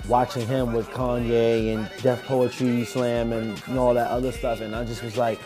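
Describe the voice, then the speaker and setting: Smooth-voiced young New rapper. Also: male voice, medium pitch, moderate pace, over music. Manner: loose, rambling, animated storytelling. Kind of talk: casual interview talk.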